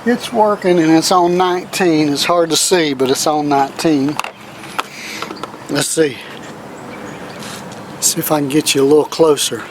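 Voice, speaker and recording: A man's voice: on the high side, fairly even intonation, measured pace, pauses often. A man giving a casual, hands-on demonstration as a video host, talking in a folksy, informal way. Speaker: host of a do-it-yourself radio video. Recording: clear outdoor background noise with vehicles. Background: outdoor ambience, vehicle noise.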